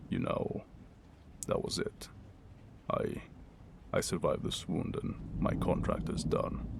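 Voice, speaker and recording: A man playing a wounded mercenary warrior in an ASMR roleplay, guarded and wry but secretly tender, murmuring slowly with halting, formal, old-fashioned phrasing. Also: exaggerated voice. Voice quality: deep, gravelly, breathy murmur. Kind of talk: ASMR roleplay acting, intimate second-person monologue. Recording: rain and thunder ambience noise. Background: rain and thunder.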